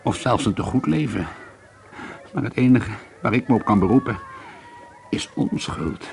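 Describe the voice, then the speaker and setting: A man's voice: medium pitch, expressive, moderate pace, vocal fry, a little mellow. An actor playing a troubled, bitter schoolteacher and painter in a radio drama, hesitant and confiding, repeating himself as he broods. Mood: bitter, confessional. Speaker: lead actor and first-person narrator. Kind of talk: radio drama monologue and dialogue. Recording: noisy old radio play recording.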